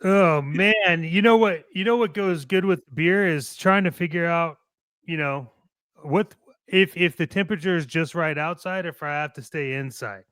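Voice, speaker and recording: Male American co-host, fairly high voice, joking banter, tight dry sound. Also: normal speed, a bit bright, clear, resonant tone, dry recording. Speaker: co-host of a toy collecting show. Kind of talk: casual banter.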